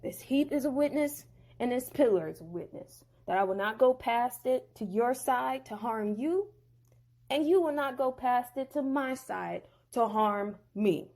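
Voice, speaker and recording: A woman's voice: on the high side, animated, unhurried, a little mellow. A woman voicing Laban, an angry, scheming older man who rants in slang and claims everything as his own. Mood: solemn. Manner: slangy, ranting, possessive.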